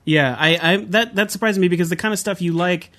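A man hosts a podcast in an easygoing, enthusiastic chat. His voice sits fairly high for a man, and he laughs often as he talks. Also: brisk, fairly bright tone. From Southern California. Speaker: podcast host.

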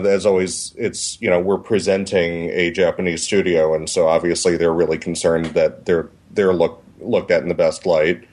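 Low-voiced, thoughtful male co-host. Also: a little mellow. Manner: hesitant, many restarts, thoughtful. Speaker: podcast co-host. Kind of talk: casual podcast chat.

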